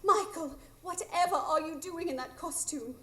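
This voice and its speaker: Woman playing a fierce, high-voiced mother. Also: normal speed, pushed and bright. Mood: pleading. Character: fierce, determined grown woman, protective mother. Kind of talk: live radio drama acting. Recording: noisy live stage recording.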